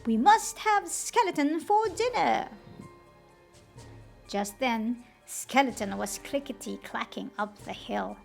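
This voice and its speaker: A woman reading a children's picture book aloud expressively, in a deliberate, animated storytelling manner. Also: a little high, creaky.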